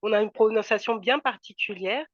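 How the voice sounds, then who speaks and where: A woman's voice: medium pitch, fairly quick, no room sound, muffled recording. A woman from France talking casually as a guest on a video call, hesitant, with many fillers and restarts. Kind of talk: casual conversational interview.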